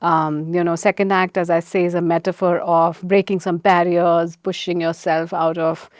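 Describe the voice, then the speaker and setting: A woman's voice: lowish voice, flat delivery, moderate pace, dry recording, clean studio sound. A woman hosting a podcast, speaking in an effusive, enthusiastic way. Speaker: podcast host. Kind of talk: podcast interview.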